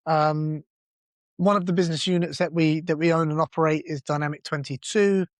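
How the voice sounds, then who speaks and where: A man's voice: a little high, steady tone, brisk, no echo. A man co-hosting a business podcast, talking bluntly and casually, with some swearing and self-mockery. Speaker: podcast co-host.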